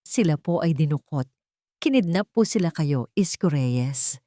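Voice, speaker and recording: A woman's voice: deep voice, lively intonation, unhurried, warm tone, no echo, studio quality. A woman voicing a wealthy, scheming mother who makes a pleading, tearful show of grief in an audio story. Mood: pleading accusation.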